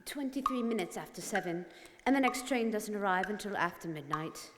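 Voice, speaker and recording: A skeptical club member played by a woman speaks with very lively intonation in a noisy, reverberant hall. The delivery is formal, precise and assured, in radio-play style. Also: mid-range voice, a little fast, close to an everyday voice. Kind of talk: stage radio drama acting. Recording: reverberant hall, clear noise, clicks.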